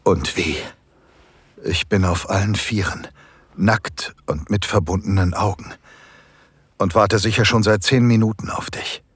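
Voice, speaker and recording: An actor playing an eager, submissive married man in an intimate erotic audio drama, speaking in a husky, breathy, halting voice. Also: on the low side, fairly even intonation, no room sound. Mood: confiding. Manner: slow, hushed, halting with moans. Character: submissive married man, construction foreman, eager, aroused, pleading.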